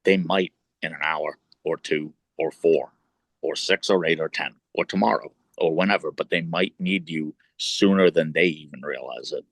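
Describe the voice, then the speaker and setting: Deep-voiced talkative wrestler. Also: male voice, normal speed, dry recording. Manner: talkative, swears, builds long points. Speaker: podcast co-host, wrestler. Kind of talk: casual chat.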